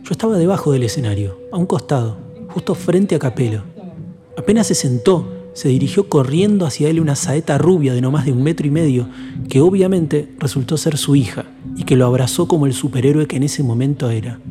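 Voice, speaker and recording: A man's voice: fairly high pitch, lively intonation. Solemn man narrating over music. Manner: measured, solemn and lyrical. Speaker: podcast host and narrator. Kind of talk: reflective scripted narration. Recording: long reverb with music bed.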